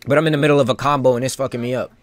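A male video game streamer gives casual live commentary at a middling pitch. He talks in loose slang, thinking out loud and wandering from one thought to the next. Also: steady tone, very fast, no room sound.

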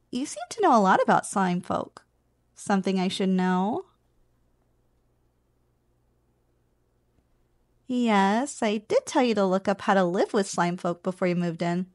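Woman playing a teasing slime girl roommate, creaky voice. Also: medium pitch, no room sound. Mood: teasing, curious. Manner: teasing, chatty, conversational with chuckles. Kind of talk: ASMR roleplay, one-sided casual conversation.

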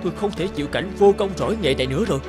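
A man's voice: high-pitched, animated, racing. An actor playing a lazy, dissatisfied male labourer in a cartoon folk tale, exclaiming and complaining plaintively. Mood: miserable, pleading. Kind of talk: animated folk tale dubbing. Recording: loud music behind the voice.

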